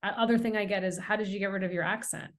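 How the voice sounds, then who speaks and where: A woman's voice: mid-range voice, rapid-fire. A middle-aged American woman, an author and guest on a podcast interview, telling stories in an earnest, emphatic way. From United States. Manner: earnest, emphatic, animated storytelling.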